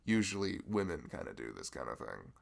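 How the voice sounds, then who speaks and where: A man's voice: very animated, a little fast, heavily acted. A man playing a sweet, bashful young male florist in a chatty, hesitant ASMR roleplay, with a velvety voice. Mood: playful, modest. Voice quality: velvety, low, creaky. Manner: chatty, hesitant, rambling, self-deprecating. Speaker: ASMR voice performer. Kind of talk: ASMR roleplay, improvised casual chat.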